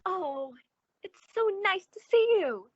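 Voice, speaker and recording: An actress playing a gushing, solicitous, sociable woman with effusive, drawn-out emphasis in an old-time radio suspense drama. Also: very high voice, expressive, no room sound, highs cut off, heavily acted. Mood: breezy, effusive.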